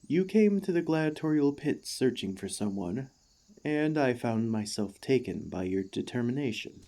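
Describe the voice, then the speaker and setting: A man playing an earnest, devoted warforged ex-gladiator in an ASMR roleplay, speaking to the listener with formal, courteous, gently hesitant phrasing. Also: mid-range voice, expressive, normal speed, lightly acted. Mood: earnest, reflective.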